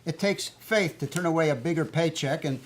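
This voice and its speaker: A performer playing a measured, approving business interviewer who asks reflective, hesitant questions in a staged play reading. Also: medium pitch, a little hiss or hum, natural voice.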